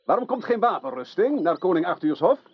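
A man's voice: high voice, big pitch swings, a little fast, breathy edge, heavily acted. A man playing a dreamy, courteous knight in a radio drama, speaking in a courtly, theatrical way. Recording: dull old radio recording.

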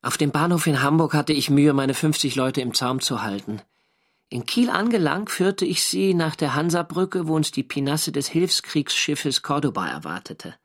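An actor playing a young German navy sailor, narrating his war diary in a measured literary way. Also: fairly high pitch, animated, fairly bright tone. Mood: animated. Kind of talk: scripted first-person radio drama narration.

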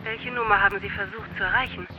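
Schauspieler als hohe, förmliche Stimme der Telefonvermittlung. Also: male voice, high-pitched, fairly even intonation, fast, narrow, tinny audio, close to an everyday voice. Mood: polite, guarded. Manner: polite, formulaic, evasive. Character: formal, guarded telephone operator who stalls callers. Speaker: telephone operator. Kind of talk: German radio drama acting. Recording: music behind the voice.

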